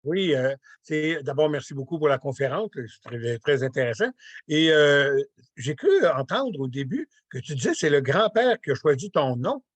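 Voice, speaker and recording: A man's voice: a little high, very animated, moderate pace, no room sound. A man with a Quebec French accent asking a casual question as an audience participant. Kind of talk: casual question at an online conference.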